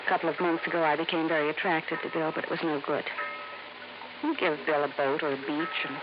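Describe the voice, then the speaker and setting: An actress plays a troubled woman in a vintage radio drama. She is earnest and confiding, a little hesitant. The recording is band-limited, with clear background noise. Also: low voice, fairly even intonation, brisk, highs cut off, close to an everyday voice. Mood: anxious, regretful. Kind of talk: mid-century American radio drama acting.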